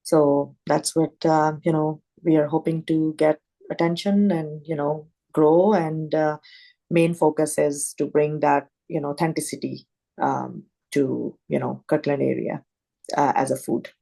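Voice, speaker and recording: Woman, mellow dark voice, halting with lots of fillers, video call audio. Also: low-pitched, level tone, gravelly. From Pakistan. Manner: halting, many "you know" and "um" fillers. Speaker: restaurant owner. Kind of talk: casual presentation on a video call.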